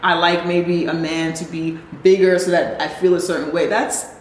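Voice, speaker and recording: A woman with a deep voice, a panel guest thinking aloud in a candid group discussion, often restarting as she works through her thoughts. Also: little pitch movement, normal speed. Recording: roomy echo.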